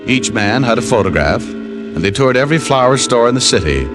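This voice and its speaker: A man's voice: medium pitch, lively intonation, fairly quick, music in the background, lightly acted. A man acting a laconic, world-weary American private detective in a 1950s radio drama. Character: laconic hardboiled male private detective narrating his case.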